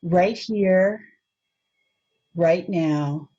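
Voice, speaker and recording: A woman leading a guided meditation in a soft, unhurried, gently inviting way, on a dull lo-fi recording. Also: fairly low pitch, slightly rough.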